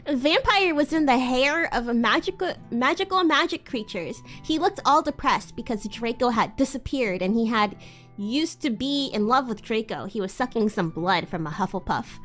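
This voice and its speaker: A woman's voice: fairly high pitch, lively intonation, normal speed, a bit bright, soft background music. A woman streamer doing a playful, exaggerated dramatic reading, with sweary amused asides. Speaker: streamer, host of a live read-along.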